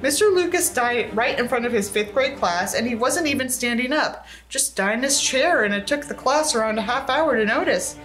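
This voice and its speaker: A woman's voice: on the high side, lively intonation, moderate pace, hardly any pauses, a bit bright, faint music behind. A young adult woman reading a book aloud dramatically and breaking in with sarcastic, exasperated asides. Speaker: video creator reading a book aloud with commentary.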